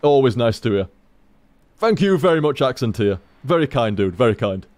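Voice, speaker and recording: A man's voice: mid-range voice, very animated, moderate pace, a little mellow, no echo. A man streaming, chatting casually as he reads out viewer tips, rambling, repeating himself and swearing freely.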